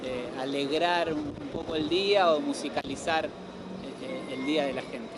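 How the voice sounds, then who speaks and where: Higher-voiced Argentine man, noisy street. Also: steady tone, moderate pace. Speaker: street busker. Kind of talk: casual street interview. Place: outdoors on city street. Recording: loud city street noise.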